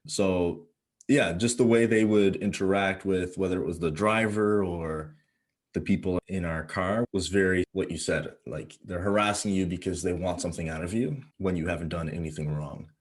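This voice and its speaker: Male podcast co-host from Ghana, very low voice, calm and curious. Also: moderate pace. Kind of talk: casual podcast discussion.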